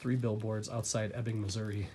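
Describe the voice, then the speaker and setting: Low-voiced man chatting over an unboxing. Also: fairly quick, slightly rough. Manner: relaxed, rambling, filler-heavy. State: getting over a cold, coughing. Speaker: collector vlogger. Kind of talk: casual unboxing chat.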